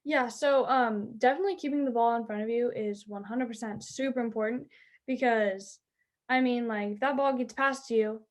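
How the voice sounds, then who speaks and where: A teenage girl who plays high school softball, chatting eagerly in an interview with lots of fillers. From Southern California. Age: teens. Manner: bubbly, chatty, many "like" and "um" fillers. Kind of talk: interview answers.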